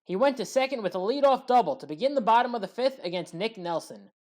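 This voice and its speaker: A woman's voice: a little high, a little mellow. A woman delivers scripted baseball play-by-play recap commentary, her voice lifting on the action and settling as each play ends. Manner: rises on action, drops at each play's end.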